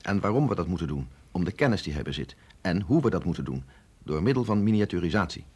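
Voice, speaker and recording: An actor playing a sceptical, authoritative, middle-aged male scientist in a radio drama, explaining in a measured, insistent way. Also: low voice, very animated, a little mellow, slightly rough, full character voice. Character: sceptical, authoritative male scientist briefing an agent, no longer young. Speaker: scientist doctor.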